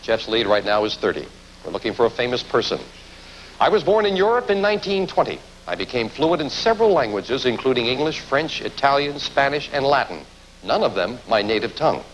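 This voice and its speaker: A man's voice: mid-range voice, big pitch swings, brisk. A man with an American accent hosting a television quiz show in a showy, announcing manner. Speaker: game show host. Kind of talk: game show hosting, ad-libbed banter and quiz questions. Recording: noisy old television broadcast, studio ambience.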